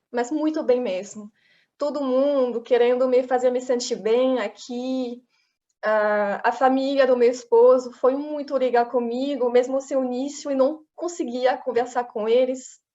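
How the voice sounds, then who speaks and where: A woman's voice: sits high, a little mellow. A woman from France, a native French speaker, talking hesitantly in an interview and giggling often. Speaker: interview guest. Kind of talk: casual interview chat. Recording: dull lo-fi audio.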